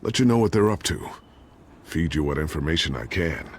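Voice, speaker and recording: An actor playing a loyal, worried tech expert in a video game, speaking earnestly and with measured concern. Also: male voice, a bass voice, expressive, slight background noise. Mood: loyal, resolute. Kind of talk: dramatic video game acting.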